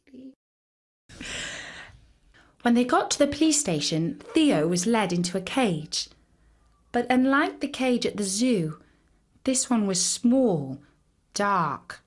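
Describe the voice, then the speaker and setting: A woman narrating a children's story in an expressive storytelling style. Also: medium pitch.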